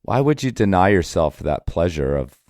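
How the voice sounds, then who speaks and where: A man's voice: low-pitched, sing-song, moderate pace, darkish tone, dry recording, clean studio sound. A man, chatting casually as a podcast host. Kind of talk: casual chat.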